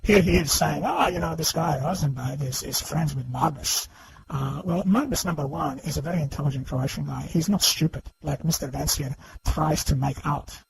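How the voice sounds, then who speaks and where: A man giving unscripted, argumentative commentary in a halting but emphatic way, repeatedly seeking agreement. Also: fairly high pitch, creaky, rough recording. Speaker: online video commentator. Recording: faint background noise.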